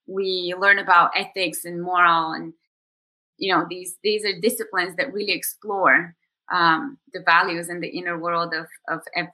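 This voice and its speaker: A woman's voice: fairly low pitch, sharp tone, poor audio. A woman from Bulgaria in her thirties, a coach talking through her story as a podcast guest in a reflective way, with many fillers and restarts. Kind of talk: podcast interview conversation.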